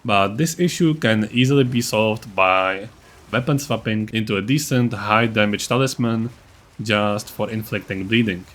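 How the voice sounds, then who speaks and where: A man's voice: lowish voice, moderate pace, a bit bright. A man narrating a video game guide as its creator, in an informal, matter-of-fact, explanatory way. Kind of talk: casual explanatory build-guide voice-over.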